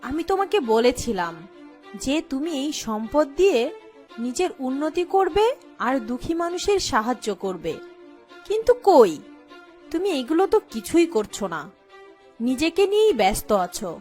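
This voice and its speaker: A woman acts out a magical fairy from a cartoon folk tale, high-voiced and very expressive. She is solemn, kindly and stern, over soft background music. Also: moderate pace, long pauses. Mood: stern, reproachful.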